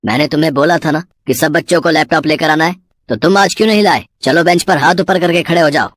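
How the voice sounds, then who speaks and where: A woman's voice: low-pitched, fast talker, dry recording. A woman voicing a strict male school computer teacher in a cartoon story. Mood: scolding. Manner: strict, scolding. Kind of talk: cartoon voice acting.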